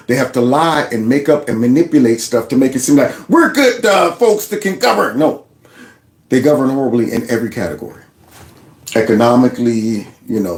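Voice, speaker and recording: A man hosting reaction commentary in an animated way, often repeating words. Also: mid-range voice, reverberant. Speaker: political commentary host.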